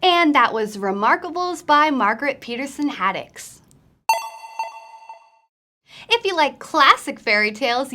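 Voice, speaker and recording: A woman's voice: a little high, bright, forceful tone. A woman hosting a book recommendation video, speaking in a scripted presenter style to viewers. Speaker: host of a book recommendation series.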